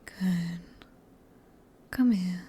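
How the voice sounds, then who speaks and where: A woman with a British accent voicing a sadistic, possessive, centuries-old vampire in a slow, menacing, breathy whisper for an ASMR roleplay. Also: lowish voice, mellow, full character voice. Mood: commanding, smug. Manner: slow, menacing, coaxing with pet names.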